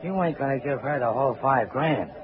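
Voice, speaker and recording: A man's voice: mid-range voice, a little fast, lightly acted. Man acting a slangy crook, tinny old radio. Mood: uneasy, questioning. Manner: slangy, blunt, streetwise. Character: uneducated, worried male crook, blunt sidekick to a swindler. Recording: scratchy, tinny 1940s radio recording.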